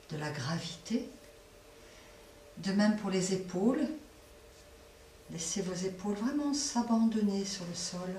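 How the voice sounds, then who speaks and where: A woman leading a guided relaxation as a yoga nidra teacher, speaking softly and unhurriedly with long pauses between phrases. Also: medium pitch. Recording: roomy echo, distant mic, faint noise.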